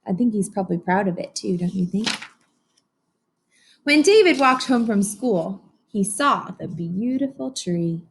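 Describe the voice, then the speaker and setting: A woman reading a picture book aloud to young children as a teacher does, pausing to explain and ask simple, encouraging questions. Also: medium pitch, expressive, slight background noise, poor audio.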